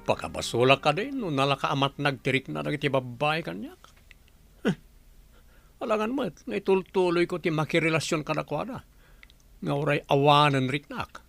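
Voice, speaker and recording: Cocky young man, high creaky voice. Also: lively intonation, moderate pace, fairly bright tone, dry recording, faint music behind. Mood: callous, unrepentant. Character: young womanizing man, cocky, protective of his sister. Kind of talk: radio drama acting in Ilocano.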